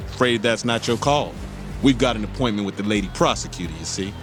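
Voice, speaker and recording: A man's voice: fairly low pitch, quick pace, music in the background, close to an everyday voice. A man playing a hot-headed, cocky yakuza thug in a video game cutscene, taunting and swearing in slang. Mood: cocky menace.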